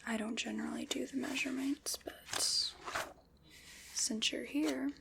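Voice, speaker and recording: A woman playing an ancient undead woman who commands a skeleton army, in a soft, dry, teasing whisper for an ASMR roleplay. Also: high-pitched, fairly even intonation, unhurried, gravelly, heavy background noise, exaggerated voice.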